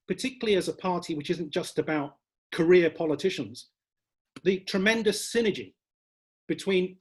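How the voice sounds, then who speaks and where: A man speaking as a leadership candidate at a hustings, measured and slightly formal in his debating. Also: sits high, breathy edge. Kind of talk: hustings speech.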